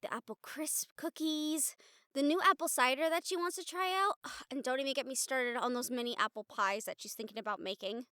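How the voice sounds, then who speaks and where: A young woman playing a bubbly, encouraging college student in a casual, chatty, expressive roleplay audio drama. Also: high-pitched, a little fast, clear, resonant tone, no room sound, clean studio sound. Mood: encouraging, playful. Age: young adult. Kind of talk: roleplay audio drama acting, speaking to listener.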